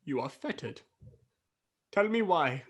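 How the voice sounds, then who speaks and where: Radio play miser, male actor: elderly, stubborn, nervy and theatrical, with a lively swooping pitch. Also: takes it slowly, full character voice. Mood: frightened, pleading. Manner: theatrical, sceptical, jittery. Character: stubborn, frightened old male miser confronting a ghost.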